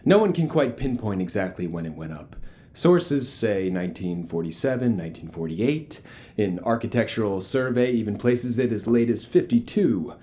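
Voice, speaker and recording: An actor playing a charismatic, ominous cult leader in a horror audio drama, preaching grandiosely with rhetorical pauses. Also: male voice, lowish voice, animated, mellow, natural voice. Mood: measured, ominous. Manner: theatrical, grandiose, pausing for rhetorical effect.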